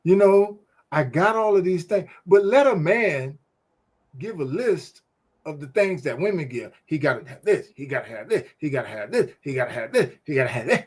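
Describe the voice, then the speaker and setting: A man's voice: fairly high pitch, lively intonation, moderate pace, husky. A man co-hosting a casual faith-based talk show, speaking emphatically and animatedly, with a preachy storytelling manner. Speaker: podcast co-host.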